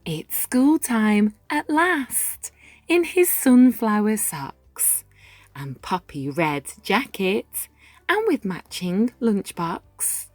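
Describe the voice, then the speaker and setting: A woman reading a rhyming children's picture book aloud, with measured, dramatic phrasing and deliberate pauses. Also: mid-range voice, very animated, no echo. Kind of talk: expressive children's read-aloud narration in rhyme. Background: music.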